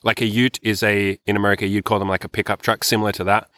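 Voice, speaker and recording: A man's voice: on the low side, level tone, fast talker, bright, forceful tone, dry recording, clean studio sound. A man with an Australian accent hosting a podcast, chatting casually with a loose, sweary, filler-heavy way of talking. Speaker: podcast host. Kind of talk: casual chat.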